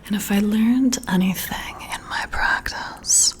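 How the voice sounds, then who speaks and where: A woman playing a flirty, confident female boss in a breathy, whispered ASMR roleplay, teasing and coy with deliberate, drawn-out phrasing. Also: mid-range voice, natural voice. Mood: confiding, controlled.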